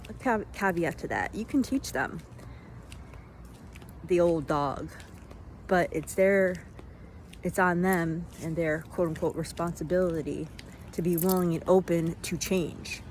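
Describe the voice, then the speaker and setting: Reflective woman, halting phrases, faint background noise. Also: fairly low pitch, a little mellow. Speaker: vlogger giving self-help reflections. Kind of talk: unscripted motivational monologue. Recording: noisy, vehicle-like ambient sound.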